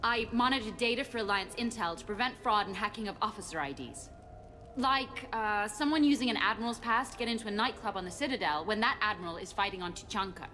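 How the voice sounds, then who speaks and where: An actress playing a jumpy, chatty young military intelligence desk worker who rambles and hesitates in video game dialogue. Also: female voice, medium pitch, animated, quick pace, bright, forceful tone, slight background noise. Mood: jittery, rambling. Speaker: intelligence analyst character.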